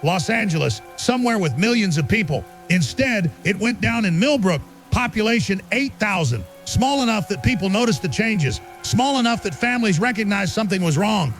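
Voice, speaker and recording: An actor playing an agitated, paranoid conspiracy broadcaster, delivering an urgent, insistent monologue full of rhetorical emphasis in an audio drama. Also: male voice, high-pitched, animated, moderate pace, a bit bright. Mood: ominous, insistent. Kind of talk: ranting conspiracy talk show monologue. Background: music.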